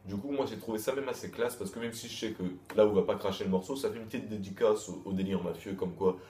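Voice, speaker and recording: A man talks through a review in a loose, repetitive way, stumbling over restarts and dropping crude slang. His voice sits a bit low and sounds dark and mellow. The room is echoey, with a faint hiss behind him. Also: fast. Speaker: manga chapter reviewer. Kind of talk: casual rambling review.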